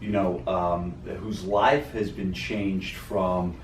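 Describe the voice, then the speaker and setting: A man's voice: deep voice, level tone, slow. A man, a gym owner co-hosting a podcast, talking earnestly and rambling with many "like"s and "you know"s. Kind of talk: casual podcast talk. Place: podcast studio. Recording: roomy, mic at a distance, faint noise.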